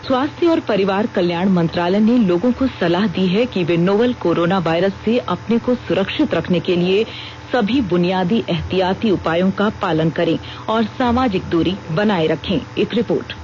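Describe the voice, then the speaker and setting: A woman's voice: medium pitch, moderate pace, a little mellow. A woman with an Indian accent reading a formal scripted news bulletin as a radio news reader. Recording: dull lo-fi radio broadcast with noise.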